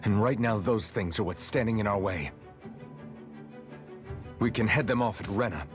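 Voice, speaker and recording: A man's voice: lowish voice, normal speed, lightly acted. A man playing a resolute young leader of companions in a fantasy game, earnest and determined. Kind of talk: dramatic fantasy game voice acting. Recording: loud music over the voice.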